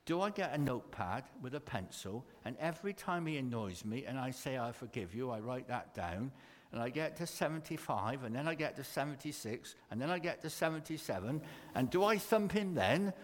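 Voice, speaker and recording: A man's voice: mid-range voice, animated, brisk, close to an everyday voice. A man voicing a puzzled, exasperated apostle in a children's Bible story, in an echoey hall. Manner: exasperated, incredulous questioning.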